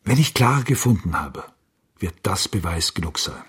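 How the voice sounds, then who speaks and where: A man's voice: low-pitched, animated, normal speed, slightly rough, heavily acted. An actor playing a stubborn, haunted man searching for his lost daughter, wry and evasive, in a radio crime drama. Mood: wry, defiant.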